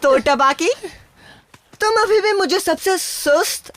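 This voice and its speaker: A woman voicing a confident, teasing human boy in an animated cartoon dub. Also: extremely high pitch, slow, no echo, exaggerated voice. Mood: cocky, teasing. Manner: teasing, cocky.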